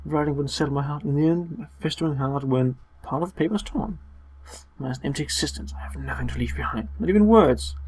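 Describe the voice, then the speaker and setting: A man giving casual, sarcastic and playful commentary on a horror game, swearing and reading game text aloud between quips, with a husky voice. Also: on the high side, fast, darkish tone, a little hiss or hum. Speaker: let's play commentator.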